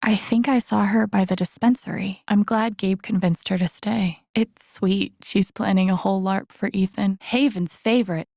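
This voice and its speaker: A woman playing a thoughtful, wry young game protagonist, musing to herself in low-key introspective lines. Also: steady tone, moderate pace, gravelly, few pauses, darkish tone, no echo, clean studio sound. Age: young adult.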